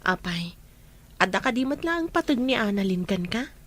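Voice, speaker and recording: A woman's voice: mid-range voice, big pitch swings, moderate pace, fairly bright tone. A woman playing a concerned, questioning friend in an audio romance drama. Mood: probing. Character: concerned, questioning female friend caught between two friends.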